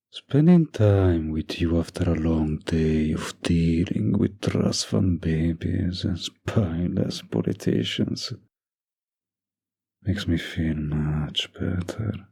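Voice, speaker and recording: An actor playing a doting, dominant young mafia heir in an intimate boyfriend roleplay, with a gravelly, husky voice and a murmured, teasing, possessive manner. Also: male voice, low voice, slow pace, mellow, exaggerated voice. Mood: tender, teasing.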